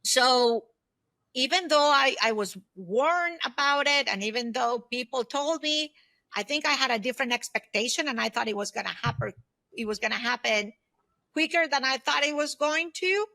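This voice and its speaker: A woman's voice: high voice, animated, moderate pace, creaky, sharp tone, poor audio. A woman in her fifties talking as an interview guest, sharing personal experience and advice in an emphatic, conversational way. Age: 50s. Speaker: guest, solopreneur consultant and former aerospace executive.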